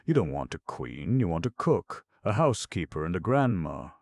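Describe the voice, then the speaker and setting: A man voicing a haughty patchwork rag-doll girl with a shrill, defiant way of talking. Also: big pitch swings, moderate pace, creaky, no echo, studio quality, heavily acted. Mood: indignant.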